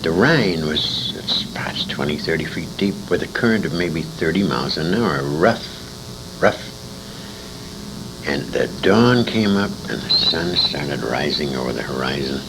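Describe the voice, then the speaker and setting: A man's voice: rumbling low, lively intonation, measured pace. An older American man telling war stories conversationally, with an emphatic, dramatic delivery that leans on repeated phrases. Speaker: interviewee, war veteran and writer. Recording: noisy amateur recording with room ambience.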